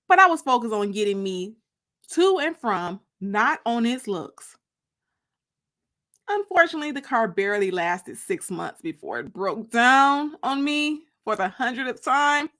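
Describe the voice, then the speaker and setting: Woman reading an audiobook, kinda high voice, very up and down, expressive, stretches out phrase endings. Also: measured pace. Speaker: author reading her book. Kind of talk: dramatic audiobook narration.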